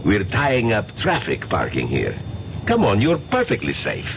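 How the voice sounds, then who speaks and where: An actor playing a suave, powerful crime boss in a radio drama, commanding and menacingly polite. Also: male voice, lowish voice, huge pitch swings, exaggerated voice. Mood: courteous, commanding. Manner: smooth courtesy, unhurried, commanding. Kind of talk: old-time radio drama acting. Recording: muffled radio recording, loud background noise.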